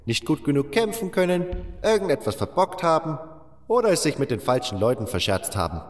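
A man's voice: a little high, very animated, a little fast, big-room sound, voice pushed up. A man voicing an old, weathered, resigned miner with a fatherly, plain-spoken manner in an audiobook. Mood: wry, disdainful. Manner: seasoned, plain-spoken storyteller, addresses listener as a youngster. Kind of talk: audiobook character voice.

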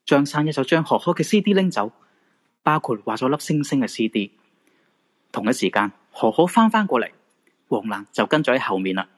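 A man acting as a radio drama narrator tells a ghost story in Hong Kong Cantonese. His pitch swings widely and the voice is fairly high, with a rough, husky edge. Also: big pitch swings, brisk. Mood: suspenseful storytelling.

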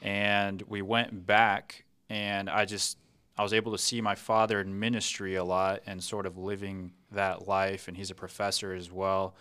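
A man's voice: low voice, flat delivery, normal speed, pushed and bright, clear, resonant tone. A man in his thirties from Brazil with a Brazilian Portuguese accent, a podcast guest speaking hesitantly and restarting his phrases.

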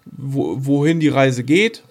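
A man's voice: mid-range voice, slow. A man chatting casually as a podcast co-host, rambling informally and talking straight to the listeners.

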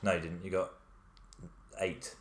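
A hungover man co-hosting a film podcast with dry, deadpan jokes. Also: low voice, measured pace, background noise. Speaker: podcast co-host. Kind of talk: casual chat. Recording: echoey room with music underneath.

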